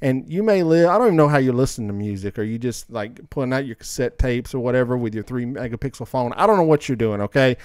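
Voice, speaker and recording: A man's voice: mid-range voice, expressive, quick pace, few pauses, clean tone, no room sound, clean studio sound. A man hosting a casual podcast, bantering and debating in a colloquial, opinionated, teasing way. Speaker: podcast host.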